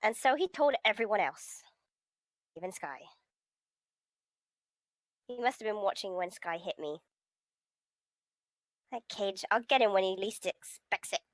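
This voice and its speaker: A woman's voice: mid-range voice, lively intonation, a little slow, long pauses, no echo, clean studio sound, natural voice. A woman reading the part of a beleaguered, sarcastic teenage schoolboy in a visual novel, in a grumbling manner. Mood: brooding. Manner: grumbling, sardonic. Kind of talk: visual novel read-aloud.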